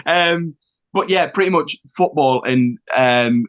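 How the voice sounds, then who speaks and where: A man's voice: on the high side, animated, slow pace, fairly bright tone, highs cut off. A young man from Nottingham, chatting as a musician guest in a self-deprecating way with lots of fillers. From Nottingham, England. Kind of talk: casual interview chat.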